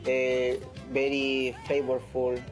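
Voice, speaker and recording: A man in his thirties from Peru with a Peruvian Spanish accent, a chef speaking halting English in an informal interview. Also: mid-range voice, monotone. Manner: halting non-native English with repeated phrases. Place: indoors in a restaurant. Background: music.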